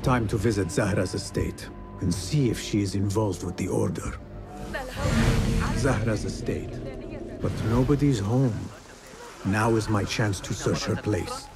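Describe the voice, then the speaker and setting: An actor playing a guarded, determined young assassin in dramatic video game dialogue. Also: male voice, lowish voice, unhurried, pauses often. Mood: focused. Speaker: game protagonist. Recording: music behind the voice.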